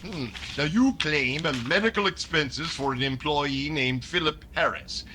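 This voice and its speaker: A man's voice: on the high side, measured pace, pushed and bright. A man playing a polite, probing tax official. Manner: hesitant, formal, questioning. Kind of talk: classic radio sitcom straight-man acting. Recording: noisy recording with room ambience.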